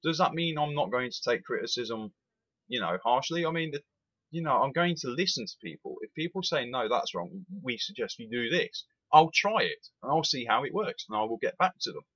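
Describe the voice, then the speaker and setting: Ranting young man, bright edge. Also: mid-range voice, a little fast, breathy edge, highs cut off. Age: 20s. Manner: animated, emphatic, repeats itself. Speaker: rail sim reviewer. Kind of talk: opinionated vlog rant.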